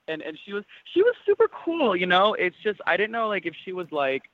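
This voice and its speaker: A man who is a drag performer, chatting as a guest in a rapid, campy, sassy way full of slang. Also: high voice, huge pitch swings, no room sound. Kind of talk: casual podcast chat. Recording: tinny phone-like line, dry.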